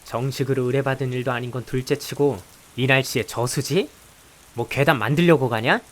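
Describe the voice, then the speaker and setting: A man playing an exasperated male high school student in a visual novel, blunt, dry and sarcastic, with a sighing delivery. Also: a little fast, lightly acted. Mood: reluctant, sarcastic. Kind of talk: visual novel voice acting with some first-person narration. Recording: hiss with steady rain behind.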